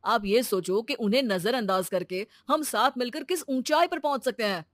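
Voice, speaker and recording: Woman as supportive son, young adult, calm, explaining, cartoon moral story, close dry recording. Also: on the high side, normal speed, dry recording, lightly acted. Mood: concerned, reassuring.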